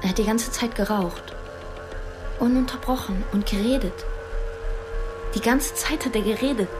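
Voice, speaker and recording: A woman's voice: fairly high pitch, moderate pace, over music, lightly acted. An actress playing a wistful but determined young refugee girl in a radio play, with a simple, childlike manner. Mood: hesitant, remembering. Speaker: actor in a radio drama.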